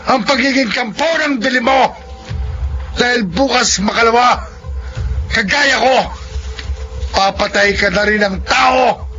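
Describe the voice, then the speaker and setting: Shrill, forceful monster villain. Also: male voice, unhurried, pauses often, highs cut off, faint music behind, exaggerated voice. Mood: gloating, menacing. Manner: commanding, gloating. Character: domineering evil male aswang, obsessive suitor. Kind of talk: Filipino radio horror drama acting.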